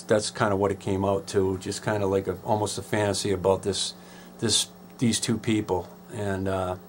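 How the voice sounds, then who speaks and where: A middle-aged man, a singer-songwriter guest, casually telling the story behind his songwriting in a halting, rambling way. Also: on the low side, a little hiss or hum. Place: small radio studio. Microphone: close studio microphone on boom arm.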